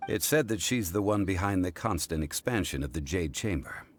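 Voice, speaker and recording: A man playing a calm, refined, learned gentleman in a video game, speaking in a measured, formal way. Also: deep voice, slight background noise. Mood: composed, explanatory. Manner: measured, formal, old-fashioned diction. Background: soft game music.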